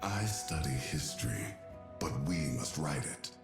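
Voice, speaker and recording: An extremely deep, solemn ancient guardian. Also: male voice, sing-song, measured pace, gravelly, exaggerated voice. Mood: solemn, wise. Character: wise ancient male guardian, solemn, stern. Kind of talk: theatrical fantasy game voice acting. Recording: music behind the voice.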